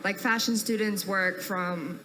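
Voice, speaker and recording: A woman's voice: medium pitch, fairly even intonation, measured pace, pushed and bright, a little hiss or hum. A woman in the audience at a live panel, earnestly sharing an observation from her fashion work, rambling a little and laughing between points. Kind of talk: audience comment at a live event.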